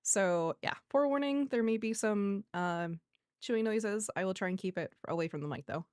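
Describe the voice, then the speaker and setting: A soft-voiced woman hosting a livestream, chatting casually with viewers and muttering asides to herself. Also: medium pitch, moderate pace, dry recording, studio quality. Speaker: livestream host.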